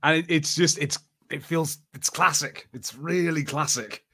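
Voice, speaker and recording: A man's voice: fairly high pitch, measured pace, bright, forceful tone, breathy edge. A man co-hosting a podcast, chatting enthusiastically and nostalgically in casual watch-party commentary. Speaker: podcast co-host.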